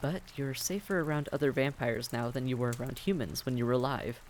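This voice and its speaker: A man's voice: mid-range voice, fairly quick, slight background noise, lightly acted. A man playing a friendly, reassuring vampire innkeeper in a fantasy audio roleplay, speaking courteously and conversationally to the listener. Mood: gentle, comforting.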